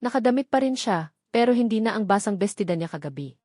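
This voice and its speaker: Woman storyteller, Filipino accent, medium pitch, dramatic sentimental phrasing, romance audiobook, dry recording. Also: animated, quick pace, a little mellow, dry recording.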